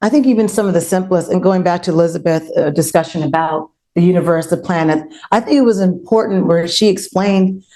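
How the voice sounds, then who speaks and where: A woman's voice: fairly low pitch, brisk, few pauses, warm tone. A woman speaking in an earnest, explanatory way in an unscripted panel discussion.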